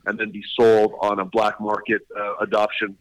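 A middle-aged man from Hawaii and Southern California, a state attorney general speaking as a radio interview guest, halting with many fillers and restarts. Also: fairly low pitch, completely flat delivery, dry recording, rough recording.

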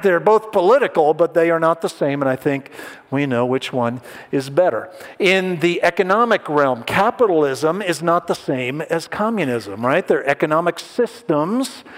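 Man, pastor, conversational sermon, slightly high voice, long hall reverb. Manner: conversational, asks the audience questions, light jokes. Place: large hall with an audience.

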